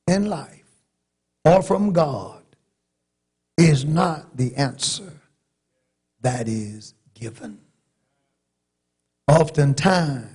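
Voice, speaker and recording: A middle-aged man from North Carolina with a Southern accent, preaching a sermon from a church pulpit with long pauses and rising emphasis. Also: a little high, darkish tone, slightly rough. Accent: Southern American. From North Carolina, USA. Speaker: pastor. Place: large church sanctuary, at a pulpit. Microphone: pulpit microphone.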